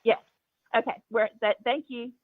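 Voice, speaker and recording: A woman's voice: a little high, moderate pace. A woman calling in to a public meeting, speaking politely and without a script. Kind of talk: polite unscripted phone comments. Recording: tinny dry phone line.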